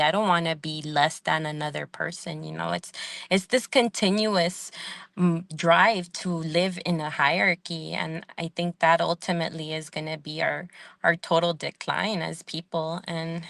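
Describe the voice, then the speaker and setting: Woman guest, low gravelly voice, dry close mic; measured, reflective, fillers and "right?" tags. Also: fairly bright tone, no echo. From Salvadoran family, living in the United States. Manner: thoughtful, frequent "um" and "right?" tags. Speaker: guest, community organizer and professor. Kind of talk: podcast interview.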